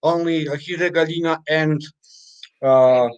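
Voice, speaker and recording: A man with a slightly high voice co-hosts a casual live stream. He talks loosely with lots of "like" and "uh," and reads viewer comments aloud between his own stories. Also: steady tone, measured pace, clear, resonant tone, lo-fi sound. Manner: chatty, reads out comments, frequent fillers. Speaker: live stream co-host reading viewer chat.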